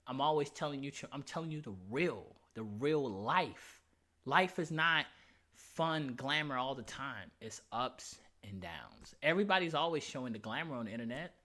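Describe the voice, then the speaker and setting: A man's voice: medium pitch, animated, moderate pace, bright, forceful tone. A man in his late twenties talking casually on a livestream, candid and emphatic, reading chat comments aloud. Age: 20s. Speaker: streamer.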